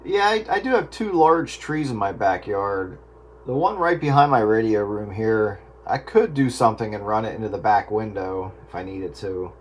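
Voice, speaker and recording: A man's voice: fairly low pitch, lively intonation, moderate pace. A man chatting casually as an amateur radio operator over the air. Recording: radio transmission, heavy static and clicks.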